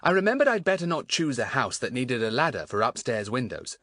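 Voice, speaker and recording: A man's voice: mid-range voice, very animated, brisk, fairly bright tone, dry recording, voice pushed up. A man voicing a keen, good-natured schoolboy in a children's audiobook, earnest and friendly. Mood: eager recounting.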